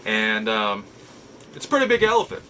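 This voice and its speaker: Animated, bright-voiced man hosting a show. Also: on the low side, a little hiss or hum, rough recording. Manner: theatrical, playful, drawn-out emphasis. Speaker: host of a nostalgia collectibles show. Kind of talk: casual scripted-feel vlog monologue.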